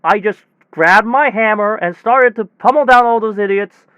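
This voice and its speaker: Man playing a frazzled repair technician, high smooth voice, rambling and halting, exasperated storytelling, audio drama monologue, slightly dull recording. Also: no room sound, lightly acted. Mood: agitated, incredulous. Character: weary, exasperated male air-conditioner technician telling a bizarre tale. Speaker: voice actor in a self-written audio drama. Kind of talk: conversational monologue audio drama, as if on a phone call.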